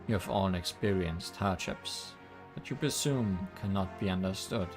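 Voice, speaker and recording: A man voicing a commanding woman sage who gives a solemn, oratorical speech to rally her followers, in a fan-dubbed animation. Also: lowish voice.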